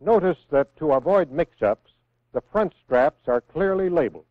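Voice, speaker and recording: An American man reading scripted instructions in a measured, clearly enunciated way, as the narrator of a 1960s military training film. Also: on the high side, expressive, dry recording. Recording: phone-like vintage 1960s film soundtrack.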